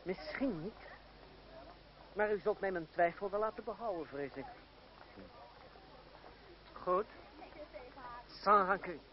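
An actor playing a proud young man with a sharp tongue in an old radio play, dryly ironic and formally polite. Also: sits high, slow pace, long pauses. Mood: sardonic. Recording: dull, noisy old radio recording.

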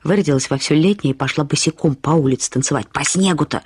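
Woman playing a husky gossipy dormitory door attendant. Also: low-pitched, fast talker, darkish tone, no echo, clean studio sound. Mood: gossipy, confiding. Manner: colloquial, nosy, confiding.

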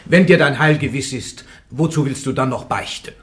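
A man's voice: medium pitch, normal speed, a little hiss or hum, close to an everyday voice. An actor playing a stern male church interrogator who questions a prisoner insistently in a radio drama. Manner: insistent, rising to sharp accusations.

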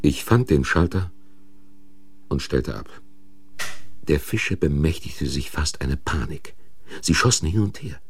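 A man acting and narrating in a German radio crime play as an uneasy, probing but soothing investigator, in short, deliberate phrases. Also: very deep voice, expressive, full character voice. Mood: uneasy, recounting. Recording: noisy vintage radio drama recording.

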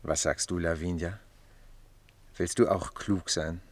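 A low, husky male voice as a courtly Roman captain in a radio drama. He speaks quietly and wryly, with a dry studio sound. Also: steady tone, unhurried, no echo. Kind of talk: radio play acting.

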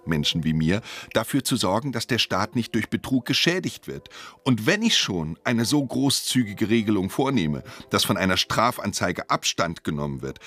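An actor playing an officious, self-righteous office bureaucrat in a radio drama, pedantic, formal and stern. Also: male voice, low-pitched, pushed and bright, heavily acted. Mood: self-justifying. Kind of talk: German radio drama acting.

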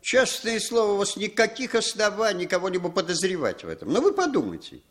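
An insinuating intelligence handler in a vintage radio drama. His slightly high voice swings widely in pitch as he coaxes, condescends and presses for agreement. The manner is polished and ingratiating, with pressure underneath. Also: very animated, brisk, fairly bright tone. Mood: insistent, reproachful. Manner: ingratiating, insistent, tags questions with prodding appeals. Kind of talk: 1960s Soviet radio play acting.

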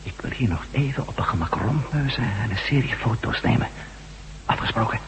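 An actor playing an inquisitive, self-assured reporter in a radio drama, brisk and questioning with a sardonic edge. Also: male voice, mid-range voice, animated, gravelly, a little hiss or hum, low-quality recording. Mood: brisk, confident. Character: inquisitive male ex-astronaut reporter, self-assured, bold, wry. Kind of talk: Dutch radio drama acting.

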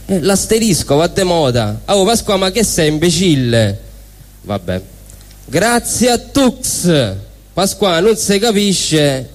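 Roman man, higher-pitched, colloquial live stage reader of comic dialogue, reverberant hall. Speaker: stage performer. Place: indoor stage at a live event.

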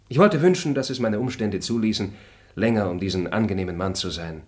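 An actor as a composed, low-voiced gentleman traveler. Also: close to an everyday voice. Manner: measured, articulate, formal. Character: composed, fair-minded male gentleman traveler. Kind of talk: German radio play acting.

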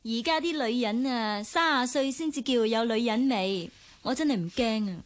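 Actress as a high-voiced, pert teenage daughter. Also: female voice, normal speed, natural voice. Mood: teasing. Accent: Hong Kong. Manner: pert, teasing, protesting. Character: cheeky, independent eighteen-year-old daughter working as secretary. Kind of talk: Cantonese radio drama acting.

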